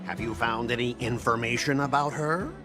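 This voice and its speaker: A man's voice: mid-range voice, moderate pace, music in the background, natural voice. A man voicing a brash, scheming corporate gambler villain in an anime-style game, cocky, theatrical and commanding.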